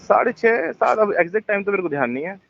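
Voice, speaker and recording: Man on a phone line, high-pitched, rambling and defensive, packed with fillers, muffled call audio. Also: animated, fast, gravelly, a bit bright, a little hiss or hum. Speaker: phone caller. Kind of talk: tense phone conversation.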